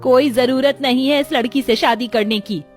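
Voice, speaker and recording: A woman's voice: high voice, normal speed, faint music behind, natural voice. A woman voicing a scheming, scornful rich mother-in-law in a cartoon story. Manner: sharp, scornful.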